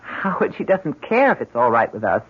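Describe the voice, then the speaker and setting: A woman's voice: rumbling low, very animated, normal speed, breathy edge, heavily acted. An actress playing an anxious, hesitant middle-aged mother who tries to soothe, in the manner of 1970s radio drama. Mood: weary, defensive. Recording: dull, lo-fi 1970s radio recording.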